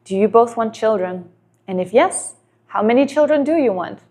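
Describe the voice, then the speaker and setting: A woman's voice: on the high side, animated, normal speed, warm tone. A woman from Denmark, a native Danish speaker in her thirties, giving earnest relationship advice to camera and asking many rhetorical questions.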